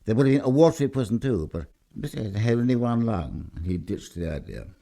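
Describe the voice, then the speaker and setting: A man's voice: mid-range voice, expressive, fast talker, mellow. A man reading a scripted short story aloud in a theatrical, emphatic storytelling manner. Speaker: story reader.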